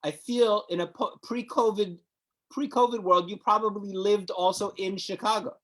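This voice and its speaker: A male host with a high-pitched voice leads the chat, teasing his guest with mock-serious questions. His tone stays playful. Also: steady tone, moderate pace, fairly bright tone. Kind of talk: casual interview chat.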